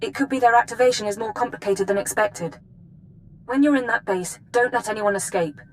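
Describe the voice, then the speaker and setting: An actress playing a businesslike imperial intelligence handler in a video game, giving clipped, formal briefings. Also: female voice, fairly high pitch, fairly quick, a little hiss or hum, close to an everyday voice, rough recording.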